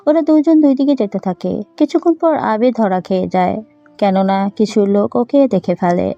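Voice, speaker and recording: A woman's voice: medium pitch, moderate pace, warm tone, highs cut off, soft background music. A woman narrating a scripted audio story.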